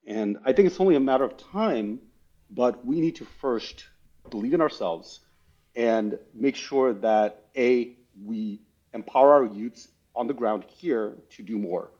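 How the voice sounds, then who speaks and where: Low, husky middle-aged man, dull recording. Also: mellow. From Bangladesh, then Texas. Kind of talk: thoughtful interview talk. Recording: dull, lo-fi sound.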